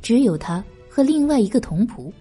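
Husky, expressive woman narrator. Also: fairly high pitch, normal speed. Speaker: audiobook narrator. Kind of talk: scripted narration of a historical novel. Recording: background music. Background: music.

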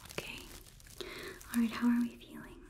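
A young woman whispering gently and clinically in an ASMR roleplay as a robot examiner, close to the microphone. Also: normal speed. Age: young adult. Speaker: ASMR performer. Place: small indoor studio. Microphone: close microphone, framed close to camera.

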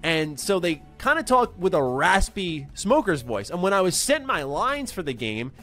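A young man talking casually to camera from a home gaming room into a close desk microphone, animated and upbeat, with quick bursts of excitement. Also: high voice, faint music behind. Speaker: gaming YouTuber and voice actor. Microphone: large desk mic close up.

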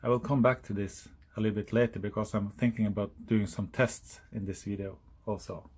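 A man in his forties from Norway, speaking English with a Norwegian accent, explaining a hobby project in a halting, self-correcting way. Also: fairly low pitch, steady tone, darkish tone, slightly rough. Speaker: hobbyist YouTuber. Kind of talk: casual DIY explainer. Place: outdoors on a hilltop, then indoors at a workbench.

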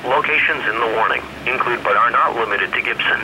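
A man's voice: medium pitch, a little fast. A man's synthetic-sounding voice reading a formal, impersonal emergency weather bulletin from a radio speaker. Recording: noisy weather radio speaker, re-recorded in room.

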